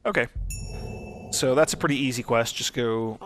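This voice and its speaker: A man's voice: mid-range voice, moderate pace, a bit bright, a little hiss or hum. Easygoing man doing game commentary. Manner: easygoing, explanatory. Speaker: Let's Play host. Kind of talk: casual gameplay commentary.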